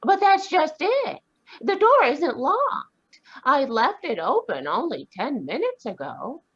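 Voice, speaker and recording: A woman voicing a brisk, independent, sharp-tongued spinster in a live radio drama, with spirited, indignant retorts. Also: high voice, wildly swooping pitch, full character voice. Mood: flustered. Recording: dull lo-fi video call audio.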